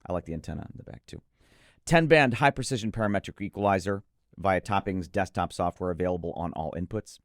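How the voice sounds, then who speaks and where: Deep-voiced emphatic man reviewing gear. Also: fast talker, no echo, studio quality. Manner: emphatic, gushing about gear. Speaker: co-host of an audio gear preview show. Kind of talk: enthusiastic product review chat.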